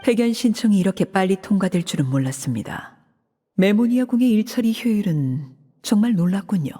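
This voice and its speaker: A woman voicing a cold, composed female leader in a video game, speaking in a measured, formal, controlled way. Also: mid-range voice, expressive, mellow, breathy edge. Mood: cool. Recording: reverberant game audio.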